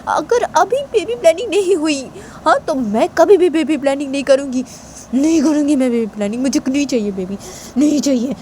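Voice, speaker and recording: A woman's voice: high-pitched, animated, fairly quick, mellow, slight background noise, voice pushed up. A woman voicing an angry, stubborn young woman in a story, scolding insistently and repeating herself. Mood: angry, sulking.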